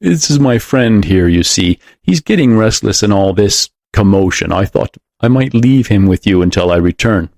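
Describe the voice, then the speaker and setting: A man voicing a big, mysterious, gentle and polite tall man with a smooth, rich voice in an audiobook. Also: fairly low pitch, expressive, normal speed, few pauses, dry recording, studio quality. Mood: gentle, coaxing. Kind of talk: audiobook character voice.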